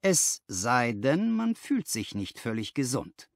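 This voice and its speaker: A man voicing a keen, self-assured gentleman detective in an audiobook, bright and forceful, with a genteel, analytical manner. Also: mid-range voice, very animated, slow, gravelly, dry recording, studio quality, exaggerated voice. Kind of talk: audiobook character reading.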